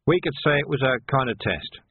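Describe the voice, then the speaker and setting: A man voicing a shrewd, outwardly deferential police sergeant in an audiobook, measured and politely coaxing. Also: mid-range voice, animated, breathy edge, no room sound, voice pushed up. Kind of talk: audiobook character voice. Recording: narrow, phone-like band.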